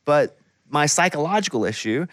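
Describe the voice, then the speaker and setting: A man hosting a podcast in casual chat, talking quickly and pushing back with playful questions. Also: fairly high pitch, lively intonation, fairly bright tone, dry recording, clean studio sound. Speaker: podcast host.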